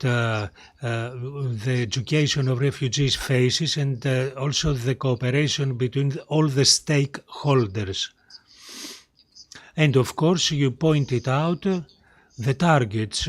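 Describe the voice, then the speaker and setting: Halting male conference moderator. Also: mid-range voice, dry recording. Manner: halting, many fillers. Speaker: panel moderator. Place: conference hall.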